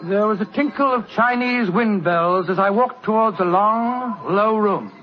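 An actor playing an earnest, proper Victorian gentleman, narrating and speaking in an old radio drama. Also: high-pitched, unhurried, faint music behind. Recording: dull old radio recording, faint hiss.

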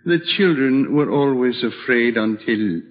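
Gentle old hermit played by a man, muffled recording. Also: medium pitch, measured pace, close to an everyday voice. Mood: serene, solemn. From Switzerland (the figure). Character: gentle old hermit, a kindly, serene widower. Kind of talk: old-time radio drama acting. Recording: dull vintage radio recording.

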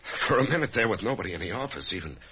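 An actor playing an anxious, death-haunted office man in a radio drama, speaking in a halting, emotional way. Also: on the low side, sing-song, pushed and bright, breathy edge, exaggerated voice. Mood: uneasy. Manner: halting, emotional, swinging from brooding to agitated. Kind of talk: old-time radio drama acting, first-person narration and dialogue.

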